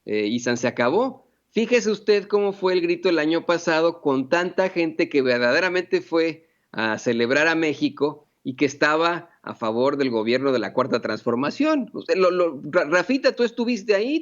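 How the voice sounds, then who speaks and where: A man's voice: on the high side, expressive, normal speed, few pauses. A man with a Mexican Spanish accent co-hosting political commentary, rambling with many fillers and restarts. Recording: dull lo-fi sound.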